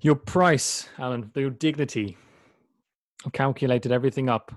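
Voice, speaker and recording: A man hosting his podcast in a laid-back, fairly monotonous way of talking. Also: mid-range voice, moderate pace, dry recording. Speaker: podcast host. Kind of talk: casual podcast chat.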